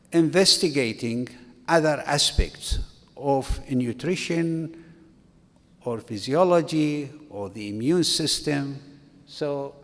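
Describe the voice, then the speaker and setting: Older male conference speaker from Iraq, halting delivery, slightly high voice, reverberant hall. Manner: slow, halting delivery with restarts. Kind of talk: academic conference talk.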